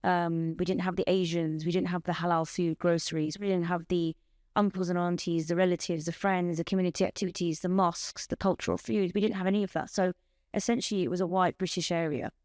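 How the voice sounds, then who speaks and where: A woman in her thirties, born in Bangladesh with Bengali as her first language, speaking as a podcast guest in an earnest, measured way and telling her story in long lists. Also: fairly low pitch, fairly even intonation, darkish tone, no echo, studio quality. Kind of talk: personal testimony in an interview.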